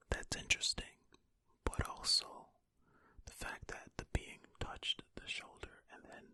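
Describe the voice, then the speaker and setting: An ASMR host whispering breathily ear to ear into a close stereo microphone, reading a story aloud in an unhurried, musing way with casual asides. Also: extremely high pitch, pushed and bright, no room sound.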